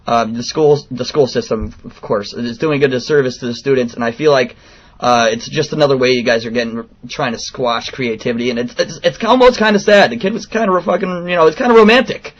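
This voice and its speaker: A man in his late twenties making an earnest, persistent complaint over the phone in a halting, informal way on a lo-fi recording. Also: mid-range voice. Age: late 20s. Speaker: radio show host calling in a complaint. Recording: dull, lo-fi phone call recording.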